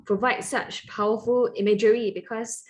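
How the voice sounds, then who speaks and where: A woman's voice: a little high, fairly even intonation, moderate pace. A woman with a Singaporean accent, moderating a panel in a conversational interviewing style. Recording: faint noise on webinar audio.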